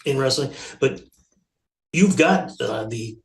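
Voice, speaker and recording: Echoey room, guy doing a casual interview, average pitch, rewords his questions as he goes. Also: lively intonation, takes it slowly.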